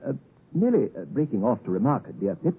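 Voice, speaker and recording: Husky, animated young English gentleman. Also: mid-range voice, fast. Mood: genial, courteous. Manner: courteous, mannered, digressive. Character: genteel, tactful, cheerful young London gentleman. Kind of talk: 1950s radio drama acting. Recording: tinny, muffled 1950s radio broadcast recording.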